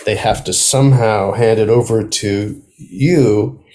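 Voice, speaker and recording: Middle-aged man, low voice, halting delivery. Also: crowd noise. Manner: halting, searching for words, self-deprecating humor. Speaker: guest, playwright. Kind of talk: casual interview conversation.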